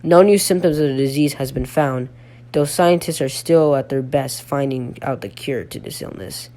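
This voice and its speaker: A man playing a sick, halting local radio host who reads breaking news in an amateur audio drama. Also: mid-range voice, warm tone, natural voice. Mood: dutiful, concerned. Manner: halting, stumbling, breaking off to apologize. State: sick, coughing. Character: ailing male local radio news host, dutiful but fading. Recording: noisy home recording with hum.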